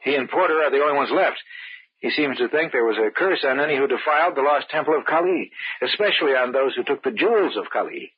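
An actor playing a calm, reasonable man hosting friends in a cabin, in old-time radio drama style. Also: medium pitch, fast talker, slightly rough, dry recording. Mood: calm, reassuring. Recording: muffled old radio broadcast.

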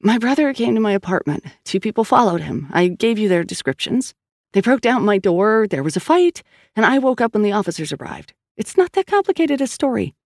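Brief: a narrator voicing an agitated woman detective, audiobook, higher voice, dry close sound. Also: sing-song, fairly quick, vocal fry, darkish tone, no room sound. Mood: insistent. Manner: insistent and agitated. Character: tense, stubborn woman police detective. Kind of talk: audiobook character voice.

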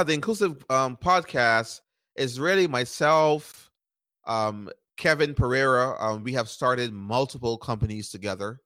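A startup founder from Barbados in his thirties, answering interview questions in a relaxed way, repeating words and using lots of fillers. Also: medium pitch, no room sound. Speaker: startup founder and podcast guest.

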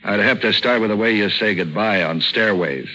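A man playing a wry, deadpan, wisecracking hard-boiled detective in a 1940s radio noir drama. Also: lowish voice, moderate pace, low-quality recording. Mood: dry, probing. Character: hard-boiled, wry male detective narrating his case. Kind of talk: 1940s radio noir acting and first-person narration. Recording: old 1940s radio recording, music behind.

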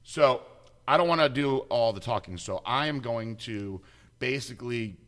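A man's voice: mid-range voice, normal speed, fairly bright tone. A man hosting a casual interview, upbeat and chatty with frequent restarts. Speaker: host.

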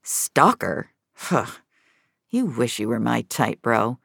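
A woman acts out a tough, sardonic heroine of an audio drama. She can turn halting and socially awkward or dryly defiant. Her pitch moves around in a lively way, in a dry, close recording. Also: creaky, breathy edge, no room sound, exaggerated voice.

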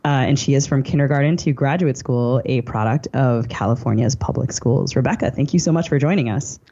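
A woman's voice: extremely low pitch, brisk, mellow, husky, highs cut off. An American woman co-hosting a podcast, delivering a scripted introduction. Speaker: podcast co-host.

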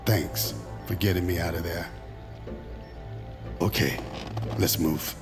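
An actor playing a weak but calm man freed from a long coma in an audio drama, terse and matter-of-fact. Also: deep voice, takes it slowly, over music. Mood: weary, calm. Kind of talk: immersive audio drama acting.

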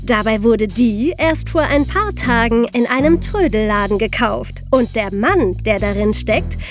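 A woman's voice: fairly high pitch, expressive, a little slow, faint music behind. A woman co-hosting a podcast, moving between scripted historical narration and casual banter. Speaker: podcast co-host.

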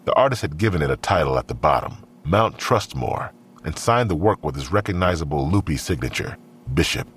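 Very deep-voiced male audio drama narrator. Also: animated, a little fast, a bit bright, slight background noise.

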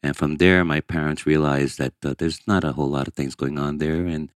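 A middle-aged man, born in Hong Kong and raised in Brazil, speaking earnestly as a guest in an interview, with emphatic, halting restarts. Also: a bass voice, fairly even intonation, dry recording, clean studio sound. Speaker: guest angler. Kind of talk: candid interview storytelling.

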